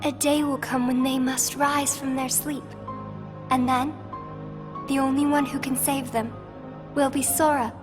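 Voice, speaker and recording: A woman playing a gentle, wistful teenage girl in a video game, speaking softly and solemnly. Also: high-pitched, little pitch movement, unhurried, long pauses, over music. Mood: earnest. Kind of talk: video game cutscene acting.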